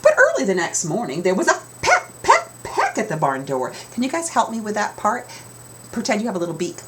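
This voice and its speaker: A middle-aged woman reading a picture book aloud to children theatrically, with drawn-out emphasis, in a small home room. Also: mid-range voice, huge pitch swings. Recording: clear background room noise.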